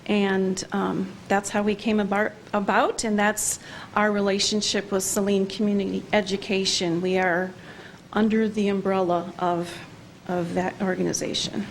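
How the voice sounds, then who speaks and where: Woman, parent, hesitant, frequent fillers, middle pitch, faint noise. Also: level tone, normal speed. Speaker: parent and program organizer. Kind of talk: public presentation at council meeting. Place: council meeting room.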